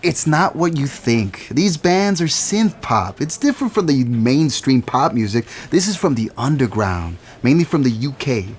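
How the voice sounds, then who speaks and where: A man's voice: medium pitch, normal speed, hardly any pauses, a little hiss or hum, close to an everyday voice. Man playing a teasing record store clerk. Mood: playful. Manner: playful, teasing, animated. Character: young record store clerk, teasing goth music fan. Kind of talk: audio drama acting.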